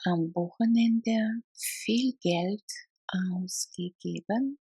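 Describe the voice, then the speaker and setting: A woman reading short phrases for beginners, repeating each one slowly in careful chunks. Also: medium pitch, mellow, slightly rough, no echo, low-quality recording. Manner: slow, careful phrase-by-phrase repetition. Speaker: language lesson reader.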